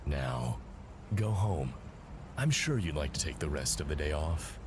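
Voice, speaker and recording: An actor playing a smooth, courteous but condescending company executive in an audio drama, with a suave, patronizing, unhurried manner. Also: male voice, very deep voice, expressive. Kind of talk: scripted audio drama acting. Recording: clearly noisy background ambience.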